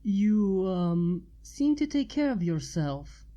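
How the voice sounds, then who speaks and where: A woman's voice: medium pitch, big pitch swings, slow, warm tone, dull audio. A woman voicing a caring, easily embarrassed young female pony in a storybook reading, with a hesitant, stammering manner. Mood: flustered.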